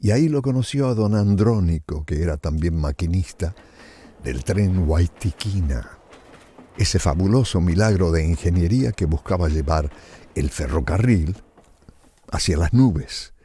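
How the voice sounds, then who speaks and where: A man's voice: low-pitched, expressive, a little slow, mellow, slightly rough, no room sound. A man in his seventies with a northern Argentine accent, narrating family memories as a storyteller with conversational asides. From Salta, northern Argentina. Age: 70s. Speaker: author reading his own stories.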